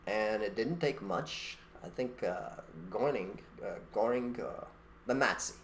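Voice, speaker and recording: A man's voice: on the low side, unhurried, a little hiss or hum. A man co-hosting a podcast, talking in a blunt, emphatic way and making rhetorical points in an opinionated conversational monologue. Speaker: podcast co-host.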